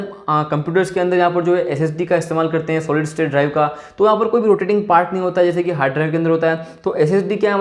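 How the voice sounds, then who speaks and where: An Indian man presents an informal tech explainer in a fairly high male voice. He speaks at a brisk pace, dropping in English technical terms and recurring verbal tags. The sound is clearly roomy and echoey. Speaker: tech explainer video host.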